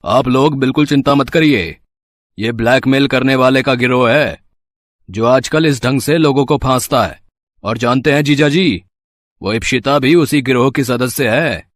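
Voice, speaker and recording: Actor as male police officer, story dialogue. Assured, reassuring, medium pitch, dry sound. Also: normal speed, no echo, lightly acted. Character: confident, reassuring police officer brother-in-law.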